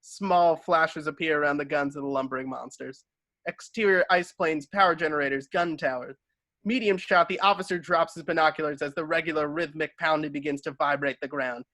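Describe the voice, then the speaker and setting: Bright, dry-sounding man narrating a script. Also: fairly high pitch, fairly even intonation, fairly quick, no room sound. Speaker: narrator of stage directions.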